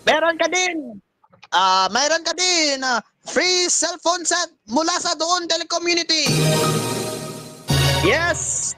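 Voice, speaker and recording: A man's voice: very high-pitched, takes it slowly, pushed and bright, no room sound, studio quality. A Filipino man co-hosting a live game show and announcing prizes. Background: music.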